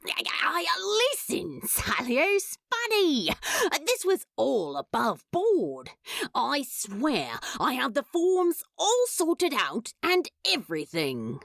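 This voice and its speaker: A woman's voice: high-pitched, wildly swooping pitch, slow pace, vocal fry, fairly bright tone, dry recording, clean studio sound, pitched above the performer's own voice, full character voice. A woman voicing a nervous, manic demon who stammers and protests in a fantasy story. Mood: nervous, flustered. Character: flustered, manic demon pleading their case.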